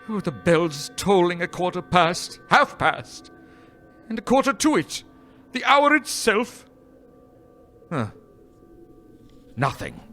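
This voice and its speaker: A man's voice: high voice, sing-song, extremely slow, long pauses, bright, forceful tone, soft background music, heavily acted. A man acting a nervous, sentimental old miser in a live radio play, stammering and repeating his words. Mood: bewildered, fearful. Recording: live stage recording with background noise.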